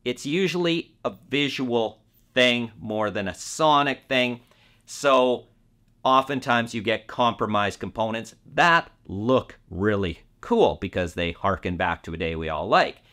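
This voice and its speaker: A man presents a semi-scripted review as host, talking straight to the viewer at a medium pitch. He phrases things deliberately and leans on key words for emphasis. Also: fairly bright tone. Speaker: audio reviewer and video host.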